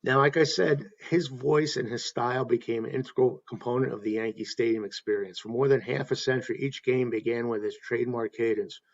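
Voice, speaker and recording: A man hosting a tribute talk, reading anecdotes in a halting way and correcting himself as he goes. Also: mid-range voice, steady tone, gravelly, lo-fi sound. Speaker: host paying tribute to a stadium announcer.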